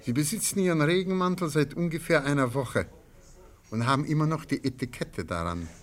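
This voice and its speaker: An actor as an elderly, courteous, shrewd gentleman. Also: a little high, close to an everyday voice. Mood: polite, knowing. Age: older. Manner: formal, measured, politely ornate. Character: elderly, courteous, shrewd antiques dealer and astrologer. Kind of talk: German radio play acting.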